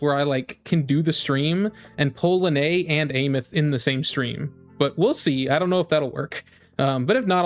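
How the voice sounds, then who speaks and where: A man streaming about games in a casual, rambling chat, often repeating his phrases as he talks to viewers. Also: a little high. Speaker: game streamer.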